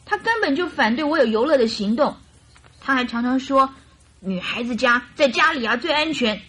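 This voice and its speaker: An actress playing a chatty, naive and carefree young bride in a radio drama, with girlish, animated complaining and coaxing. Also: high voice, normal speed, slightly rough, exaggerated voice. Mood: exasperated, chatty.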